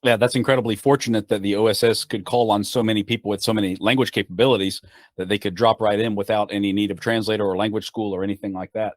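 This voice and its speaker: Fast-talking podcast host guy, lowish voice, dry sound. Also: steady tone, no room sound. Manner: quick reactive interjections and questions. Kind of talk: podcast interview.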